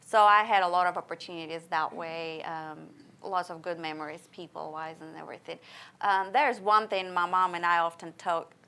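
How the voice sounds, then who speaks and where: A woman's voice: fairly low pitch, sharp tone. Woman with a slight Russian-Texan accent. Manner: earnest, thoughtful, many fillers. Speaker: interview guest. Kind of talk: casual interview answers.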